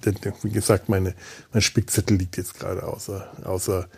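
A man hosting a solo podcast in a casual, rambling style, halting and interrupting himself with repeated words, with wry, self-deprecating humor. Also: low voice, little pitch movement, dark tone, husky. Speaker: podcast host.

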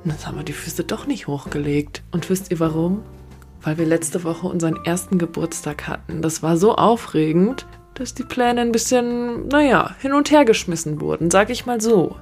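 A woman's voice: fairly low pitch, moderate pace, darkish tone, soft background music. A woman speaking casually as a podcast host, chatting to her listeners.

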